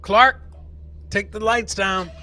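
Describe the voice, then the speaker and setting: A man's voice: sits high, steady tone, takes it slowly, background noise. A man hosting a comedy show, chatting casually and ramblingly with joking asides as he comments on clips.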